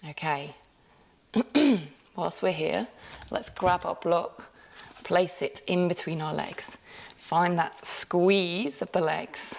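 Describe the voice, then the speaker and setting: Low, gravelly-voiced woman yoga teacher. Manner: calm step-by-step cueing, with light laughs and self-corrections. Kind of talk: guided yoga class instruction.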